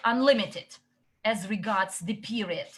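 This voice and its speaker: A woman interpreting a company update into English, relaying questions and answers in short, halting chunks. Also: medium pitch.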